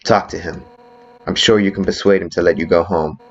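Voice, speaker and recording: A man voicing a brash, fierce female fish warrior with a soft side in a video game fan dub, blunt and cocky. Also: deep voice, fairly even intonation, a little fast, dull audio, close to an everyday voice. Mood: frank, persuading.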